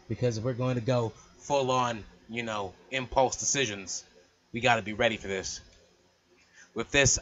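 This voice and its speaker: A man's voice: medium pitch, a bit bright, dull audio. A man hosting a let's play, reading the game's narration aloud and dropping in casual, joking asides. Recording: game music behind the voice. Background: game music.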